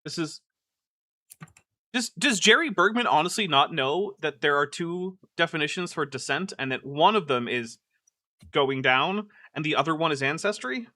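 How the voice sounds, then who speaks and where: A man's voice: on the high side, lively intonation, moderate pace, bright, forceful tone, no room sound, studio quality. A man hosting a live stream, reacting to a video in casual, exasperated commentary full of "like" fillers. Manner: chatty and exasperated, many "like" fillers.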